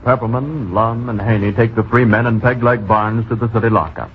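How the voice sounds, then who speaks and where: An actor as the measured narrator of an old-time radio drama serial. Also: male voice, fairly low pitch, steady tone, natural voice. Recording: tinny, hissy old radio recording.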